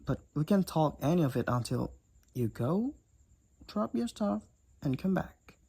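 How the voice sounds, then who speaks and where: Raspy, creaky teasing demoness played by a woman. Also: low-pitched, big pitch swings, measured pace, dark tone, exaggerated voice. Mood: bossy, impatient. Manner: teasing, wry, theatrical, addresses the listener as Sugar. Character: cocky teasing demoness college roommate, competitive gamer. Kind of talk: roleplay audio drama.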